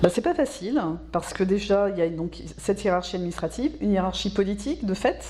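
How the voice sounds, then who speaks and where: A woman giving explanatory interview answers as a specialist, in a measured, didactic way, repeating words for emphasis. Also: mid-range voice, expressive, music in the background. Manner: measured, didactic, emphatic repetition, checks understanding with tags. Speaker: local government specialist being interviewed.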